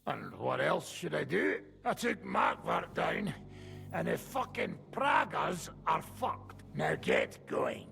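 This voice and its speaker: Rough cussing soldier, slow drawl, swoopy voice, kinda raspy. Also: male voice, fairly high pitch, huge pitch swings, fairly bright tone, faint music behind, heavily acted. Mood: gruff. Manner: curses and barks orders, slow drawl. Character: gruff foul-mouthed male soldier, surly.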